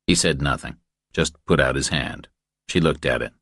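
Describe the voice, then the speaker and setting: A man with an extremely low voice narrates a thriller audiobook. The sound is close and dry, as scripted storytelling. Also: normal speed, a bit bright, no echo.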